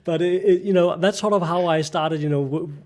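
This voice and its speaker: A man's voice: high-pitched, fairly even intonation, a little mellow. Danish-accented man on a video call. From Denmark. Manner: halting, many restarts and searches for words, laughs often. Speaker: guest. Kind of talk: casual interview chat. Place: bright home room.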